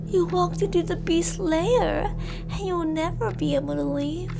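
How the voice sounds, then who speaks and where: A woman playing an unhinged, gloating cannibal killer in a scripted ASMR roleplay, with a mock-sweet, theatrical, taunting and drawn-out delivery. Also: extremely high pitch, gravelly, loud music behind the voice, heavily acted. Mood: menacing, scornful.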